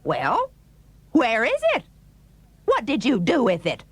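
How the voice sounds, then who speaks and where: A woman playing a demanding, excitable fisherman's wife in a musical children's story, exclamatory and insistent. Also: on the high side, breathy edge, no echo, exaggerated voice. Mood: dismayed, indignant. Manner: exclamatory, insistent, slow and deliberate.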